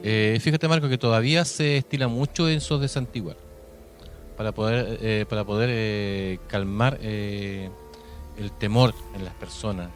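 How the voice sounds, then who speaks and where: A man's voice: fairly low pitch, steady tone, a little slow. A man with a Chilean accent hosting a radio show in a conversational way, hesitantly searching for his words. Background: music.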